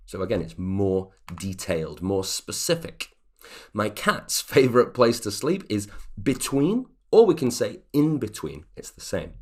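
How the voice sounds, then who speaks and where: A man teaching an online English grammar lesson, explaining patiently and checking in with the viewer as he goes. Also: sits low.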